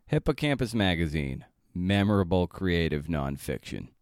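A man's voice: low voice, sing-song, moderate pace, no room sound, clean studio sound. A man hosting a podcast, talking informally and chattily with playful asides. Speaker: podcast host and interviewer. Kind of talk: podcast intro and casual interview.

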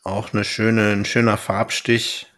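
Male podcast host, lower register, casual review talk with wry asides. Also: level tone, takes it slowly, a bit bright. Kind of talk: casual audio drama review chat.

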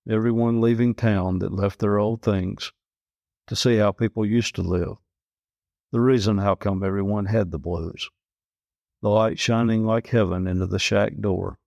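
A man's voice: fairly low pitch, creaky, a little mellow, no echo, studio quality. A man reading a first-person travel story with folksy, colloquial phrasing. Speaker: story reader, farmer.

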